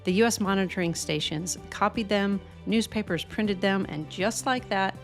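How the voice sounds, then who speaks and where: A woman's voice: medium pitch, moderate pace, soft background music. An American woman co-hosting a hobby radio channel, reading scripted narration. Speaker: co-host of a ham radio channel.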